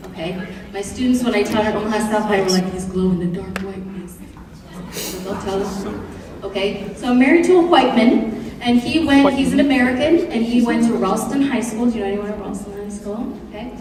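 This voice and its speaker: A woman's voice: medium pitch, a little fast, far from the mic, crowd noise. Woman presenter in an echoey hall. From Kenya. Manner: lively, joking, asks the audience questions. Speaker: presenter to high school students. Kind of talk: classroom presentation. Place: large room or hall.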